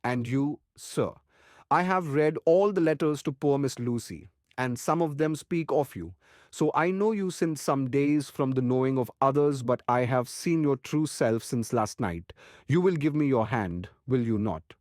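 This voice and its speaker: An actor playing a kindly, courtly old doctor in an audiobook, speaking formally and earnestly. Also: male voice, mid-range voice, expressive, unhurried, a little mellow, dry recording, studio quality, close to an everyday voice. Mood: earnest, friendly. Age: older. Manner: earnest, courtly, formal, quaint foreign phrasing. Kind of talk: audiobook character acting.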